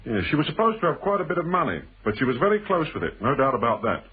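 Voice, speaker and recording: A very husky, rough-edged British man in a radio thriller, sly and insinuating. His speech swings widely in pitch as he teases and probes, on a muffled old radio recording. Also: sing-song, exaggerated voice. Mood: sly storytelling. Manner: teasing, probing, deliberate. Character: shrewd, insinuating male stranger, needling storyteller in a thriller. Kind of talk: British radio drama acting. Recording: muffled band-limited old radio broadcast.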